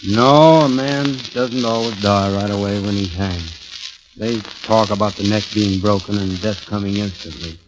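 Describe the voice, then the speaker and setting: An actor playing a grave, haunted condemned man who tells his own story haltingly, in the style of 1940s radio drama. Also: on the low side, background noise, close to an everyday voice. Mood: grim, reflective. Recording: dull vintage radio recording, loud music.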